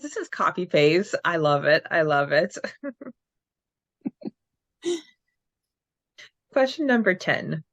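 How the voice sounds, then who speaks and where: A woman's voice: medium pitch, no room sound, muffled recording. A woman co-hosting a casual podcast who rambles and searches for words as she chats. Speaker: podcast co-host. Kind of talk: casual chat.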